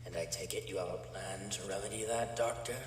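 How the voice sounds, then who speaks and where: An actor in an audio drama playing a remorseless older criminal chemist, speaking in a measured, haughty, matter-of-fact way. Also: male voice, deep voice, close to an everyday voice. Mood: indignant, probing. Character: cold, remorseless older male villain, an imprisoned mad chemist. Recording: echoey reverberant room, distant, faint noise.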